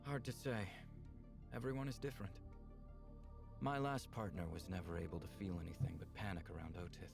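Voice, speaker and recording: A man playing a calm, experienced mentor in a visual novel, speaking in a measured, reassuring way with a gravelly voice. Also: sits low. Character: calm, experienced man guiding his nervous partner. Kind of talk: visual novel character dialogue. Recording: music under the voice.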